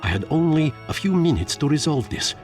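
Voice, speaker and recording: A man voicing a stubborn, self-pitying fluffy engineer creature in a game, narrating in a theatrical, brooding, gravelly manner. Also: on the high side, sing-song, brisk, darkish tone, soft background music. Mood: melancholy. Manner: theatrical, brooding, pompous delivery. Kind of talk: dramatic first-person game narration.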